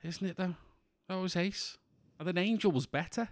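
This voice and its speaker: A man from in England co-hosting a podcast in casual chat, with a monotone voice and a rambling way of talking full of restarts. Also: high voice, normal speed, dry recording, studio quality. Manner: rambling, many restarts and fillers. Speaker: podcast co-host.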